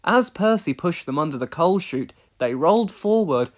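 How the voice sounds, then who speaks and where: A storyteller reading a scripted children's read-along with expressive delivery. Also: mid-range voice, normal speed. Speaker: storybook narrator.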